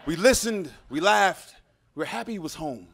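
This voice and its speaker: High-pitched man performing slam poetry. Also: animated, moderate pace, creaky, a bit bright, breathy edge. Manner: dramatic, emphatic, rhythmic delivery. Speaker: slam poet. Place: live poetry slam on stage.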